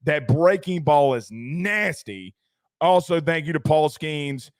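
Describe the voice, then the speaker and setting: Hoarse, hyped man host. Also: fairly high pitch, lively intonation, takes it slowly, no echo, clean studio sound. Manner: rowdy, hyped, repetitive. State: losing his voice. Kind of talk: excited postgame sports chat reading super chats.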